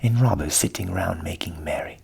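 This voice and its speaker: Man as a genial, creaky storybook donkey. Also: deep voice, brisk, a little mellow, voice pushed down, exaggerated voice. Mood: calm, pondering. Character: friendly, hearty old donkey, persuasive storybook leader. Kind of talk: storybook character voice in ASMR reading.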